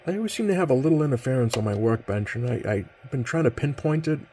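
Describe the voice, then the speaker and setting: A man's voice: mid-range voice, expressive, fast talker, warm tone. Man in casual demo, noisy background. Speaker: host demonstrating a CB radio. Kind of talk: casual hands-on demonstration. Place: indoors at a radio workbench. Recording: clear background noise.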